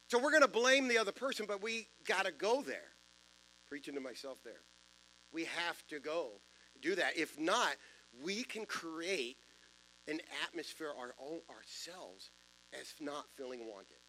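Deep-voiced preacher in a church. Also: male voice, sharp tone, low-quality recording. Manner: conversational preaching with self-deprecating jokes and asides. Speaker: pastor. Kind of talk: sermon. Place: church sanctuary.

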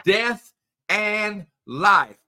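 A man's voice: high-pitched, animated, extremely slow, sharp tone. A man co-hosting a faith talk show, speaking in an emphatic preacherly way and calling out to listeners. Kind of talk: preaching conversation.

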